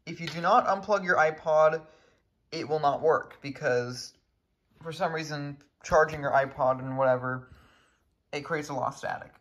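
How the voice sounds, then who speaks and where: A man's voice: on the high side, fairly bright tone. A man giving a casual hands-on tutorial as a video creator, recorded indoors at home on a handheld camera. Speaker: video creator demonstrating radios.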